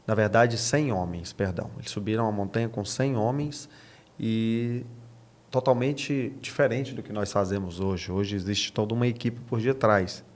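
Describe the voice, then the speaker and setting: A man's voice: fairly low pitch, normal speed. Brazilian man explaining scripture. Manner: explanatory, asks and answers own questions, repeats key phrases. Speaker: podcast guest, pastor. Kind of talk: conversational religious teaching.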